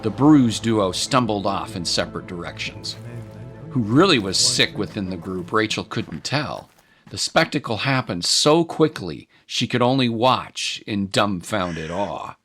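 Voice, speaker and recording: Male narrator, lowish voice, measured solemn storytelling, scripted drama, soft music bed. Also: animated, breathy edge.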